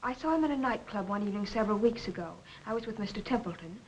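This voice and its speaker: An actress playing a composed but bitter estranged wife in a 1940s crime film, formal and emphatic. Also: female voice, mid-range voice, animated, very fast, a little hiss or hum, close to an everyday voice, rough recording. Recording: old 1940s film soundtrack.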